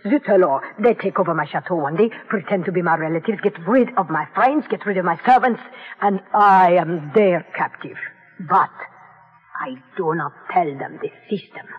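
Through a muffled, phone-like old radio with long reverb comes an elderly lady. She is husky and high-voiced, theatrical and coquettish, with a proud, defiant streak. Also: lively intonation, normal speed. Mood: confiding, dramatic. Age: older. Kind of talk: 1940s-50s radio drama acting.

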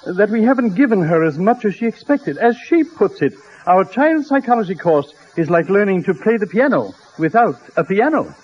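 Polite flustered professor, highish voice, articulate, old radio comedy, dull recording. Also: male voice, very animated, moderate pace, few pauses. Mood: concerned. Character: courteous, earnest, mildly flustered psychology professor. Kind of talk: 1950s radio comedy acting.

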